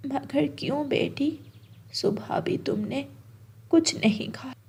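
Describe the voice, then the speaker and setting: A woman voicing a frail, tender elderly mother bird in a children's cartoon, with a weak, breathy, faltering delivery. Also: sits high, takes it slowly, darkish tone. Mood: tender, tired.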